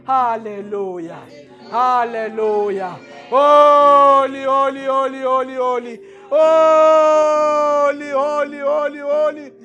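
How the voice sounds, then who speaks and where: Pastor guy praying loud and fervent, drawn out slow, high pitched. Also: fairly even intonation, soft background music. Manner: impassioned, repetitive, often shouting praises. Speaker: pastor leading prayer. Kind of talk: fervent spoken prayer and worship.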